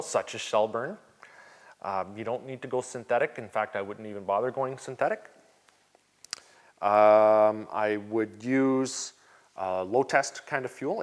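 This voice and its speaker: A Canadian man chatting through viewer questions in a relaxed, easygoing tone at a middling pitch. His voice trails off into a long reverb, as if he's speaking in a big garage. He fills his pauses with casual ums and uhs. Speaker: channel host answering viewer questions. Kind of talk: casual Q&A vlog.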